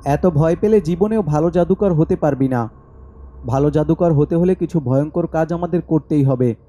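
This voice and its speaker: A man's voice: a little high, moderate pace, warm tone, natural voice. A man voicing a bold, stubborn young apprentice magician in a horror cartoon, insistent and dismissive of fear. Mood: scornful.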